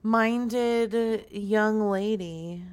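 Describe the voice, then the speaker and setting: A woman's voice: mid-range voice, extremely slow, clean tone. A woman in her forties making a playful, flirtatious prank phone call. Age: 40s. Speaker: prank caller.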